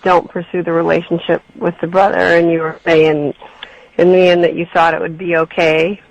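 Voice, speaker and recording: A woman's voice: lowish voice, level tone, vocal fry, no echo, low-quality recording. Hesitant young woman caller on the phone. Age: 20s. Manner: hesitant, halting. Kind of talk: telling her story on air.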